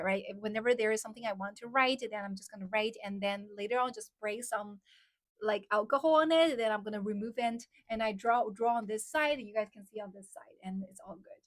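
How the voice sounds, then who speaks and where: A young woman from China speaks English with a Chinese accent, her voice sitting a little high. She talks casually to her livestream audience and fills her sentences with "like" while answering questions. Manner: chatty, many "like" fillers, reads out comments. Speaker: live streamer.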